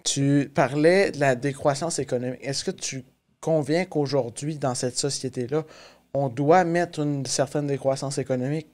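Quebec man hosting, medium-pitched voice. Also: normal speed, a little mellow. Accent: Quebec French. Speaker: podcast host. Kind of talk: casual interview discussion. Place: small podcast studio, indoors.

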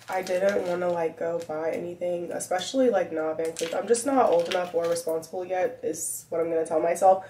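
Slightly raspy young woman vlogging at home. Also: fairly low pitch, a little mellow, a little hiss or hum. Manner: chatty, filler-heavy, talks while eating. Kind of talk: casual vlog chat. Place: home kitchen and walk-in closet. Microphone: camera set on counter at arm's length. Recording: echoey room, somewhat far from mic.

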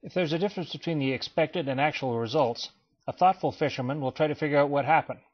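A man giving a scripted voice-over for a training slideshow, in a measured, explanatory way. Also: on the high side. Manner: measured, even explanatory delivery. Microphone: voice-over, not recorded in pictured place. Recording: dull lo-fi voice-over.

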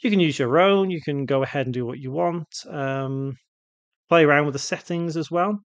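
Higher-pitched man giving a casual software tutorial. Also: normal speed, no echo. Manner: casual, thinking aloud while demonstrating. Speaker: tutorial presenter. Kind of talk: unscripted software tutorial narration.

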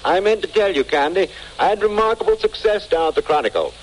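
A man's voice: sits high, animated, fast talker. An actor playing a pompous, fussy, effusive male sidekick in old radio drama style. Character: florid, fussy, theatrical male bon vivant sidekick. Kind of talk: 1940s radio drama acting. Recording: faintly noisy 1940s radio recording.

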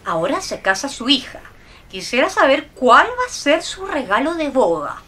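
A scornful mother-in-law in a radio drama. Her voice is high and forceful, swinging widely in pitch as she delivers cutting, sarcastic remarks with great haughtiness. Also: sing-song, normal speed, exaggerated voice.

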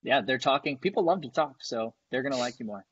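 A man's voice: mid-range voice, animated, fast talker, a bit bright, breathy edge, no room sound. Man in his thirties, from San Diego, guest, matter-of-fact, earbud mic, slightly muffled. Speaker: guest, team leader. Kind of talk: casual video-call interview. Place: home dining room. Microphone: earbuds on video call.

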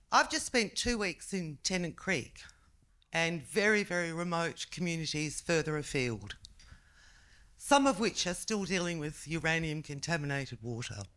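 A woman asks the panel a question from the audience. Her voice is bright and sharp. She speaks directly and deliberately. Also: on the low side. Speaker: audience member asking a question.

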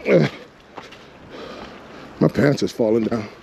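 A man's voice: medium pitch, lively intonation, slow pace, gravelly, darkish tone, slight background noise. A man fishing from a rocky shore outdoors, talking to himself and to the fish in casual running commentary, picked up by a body-mounted action camera. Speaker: fishing vlogger.

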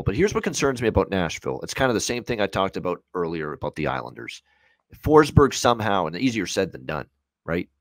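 Deep-voiced Canadian man analysing sports. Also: a bit bright, dry recording. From Hamilton area, Ontario, Canada. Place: small home room on a video call.

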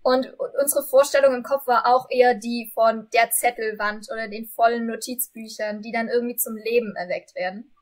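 A woman in her thirties with a fairly high voice. She works as an interviewer on a video call, putting questions and comments in a casual, conversational way. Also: steady tone, brisk. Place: room with bookshelves.

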